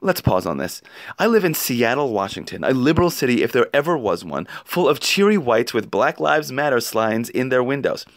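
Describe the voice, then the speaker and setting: Animated male reader, close dry sound. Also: mid-range voice, moderate pace, no echo. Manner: emphatic, rhetorical delivery with pointed questions. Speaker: narrator reading an article aloud. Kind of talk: expressive read-aloud of an opinion essay.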